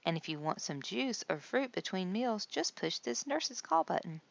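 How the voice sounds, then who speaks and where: A woman's voice: mid-range voice, big pitch swings, moderate pace, creaky, highs cut off. A woman voicing a kindly hospital nurse who explains things patiently and reassuringly in a storybook. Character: kindly adult female hospital nurse guide.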